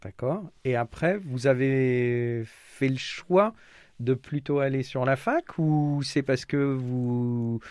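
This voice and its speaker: A man's voice: mid-range voice, slow pace, darkish tone, no echo. A man hosting a weekly radio football show in the studio, greeting guests and asking questions with frequent hesitations.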